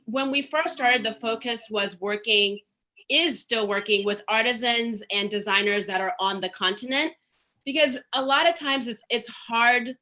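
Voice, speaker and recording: A woman's voice: on the high side, pushed and bright. A woman from Zimbabwe speaking as a panel guest on a video call, earnest and storytelling, with many fillers. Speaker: panel guest, store founder. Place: home room with shelves, indoors. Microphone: laptop webcam on a video call.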